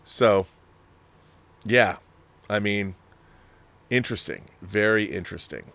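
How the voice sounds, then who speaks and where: Low-voiced man rambling with emphatic opinions. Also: vocal fry, fairly bright tone. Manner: rambling, emphatic, halting mid-sentence and rephrasing. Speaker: host of a film discussion show. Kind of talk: casual opinionated commentary, reading viewer letters.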